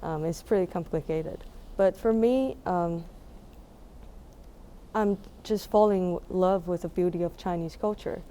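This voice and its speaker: A woman's voice: on the low side, a little slow, dark tone. A young woman from Taiwan with a Taiwanese accent, answering interview questions casually and searching for words as she goes. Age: young adult. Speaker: musician being interviewed.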